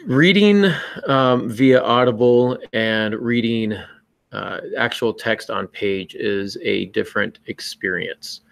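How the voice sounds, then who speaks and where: A man's voice: mid-range voice, slow pace, vocal fry, dry recording. A man co-hosting a podcast, giving casual writing advice in a joking, self-mocking manner. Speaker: podcast co-host and novelist.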